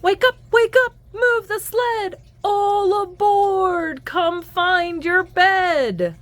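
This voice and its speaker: A woman reading a children's picture book aloud in an expressive, singsong storytelling manner. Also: very high-pitched. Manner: animated, singsong, deliberate storytelling. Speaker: children's book reader and host.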